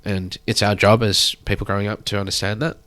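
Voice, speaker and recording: A man in his thirties, a guest speaking thoughtfully in a measured, drawn-out way. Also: fairly low pitch, little pitch movement, pushed and bright. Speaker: guest artist. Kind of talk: reflective conversation.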